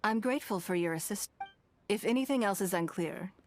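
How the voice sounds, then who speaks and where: A woman voicing a poised, shrewd, wealthy businesswoman in a video game, speaking in a calm, measured, elegant way. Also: medium pitch, slight background noise, close to an everyday voice. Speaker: game character.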